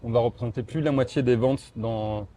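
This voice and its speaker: A man's voice: medium pitch, fairly even intonation, a little fast, mellow, slight background noise. A man representing a motorcycle brand, answering interview questions in a casual, hesitant way, with many fillers and restarts.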